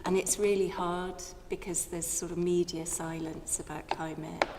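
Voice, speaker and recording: A soft-spoken woman campaigner with a Northern English accent, speaking earnestly and hesitantly on a live panel through a radio mic in a large church. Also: lowish voice, flat delivery, moderate pace. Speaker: Friends of the Earth campaigner on a panel. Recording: long church reverb, faint noise.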